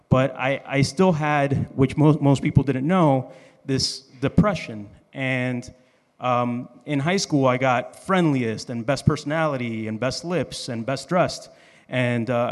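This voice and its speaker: A man with a medium-pitched voice tells a personal story to a live audience. He is informal and joking, and his voice rings with the long reverb of a hall. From Dominican Republic and Miami, USA.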